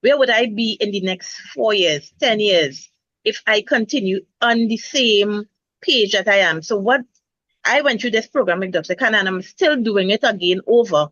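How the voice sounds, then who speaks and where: A woman's voice: mid-range voice, moderate pace, a bit bright, no echo, muffled recording. A woman nurse speaking earnestly in a casual health talk, restarting words as she thinks. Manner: earnest, repeats herself while finding words. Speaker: nurse, guest.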